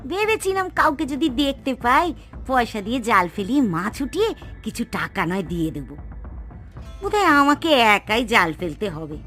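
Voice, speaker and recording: A woman's voice: on the high side, big pitch swings, normal speed, fairly bright tone, faint music behind. A woman playing a greedy, scheming, impatient village aunt in a Bengali cartoon, with theatrical, exclamatory delivery. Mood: greedy excitement. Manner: exclamatory, theatrical, coaxing and scolding. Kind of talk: Bengali cartoon moral-story acting.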